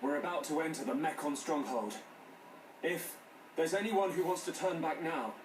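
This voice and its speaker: Actor as earnest, high-voiced young hero. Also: male voice, moderate pace, a little hiss or hum, natural voice. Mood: earnest, pensive. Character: earnest, thoughtful young male fantasy hero. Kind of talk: video game cutscene acting. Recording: echoey, distant game audio with music.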